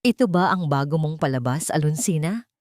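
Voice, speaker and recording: A woman's voice: low-pitched, expressive, a little fast, darkish tone, no echo, clean studio sound. A woman voicing a cold, proud rich man who speaks in a clipped, dismissive way.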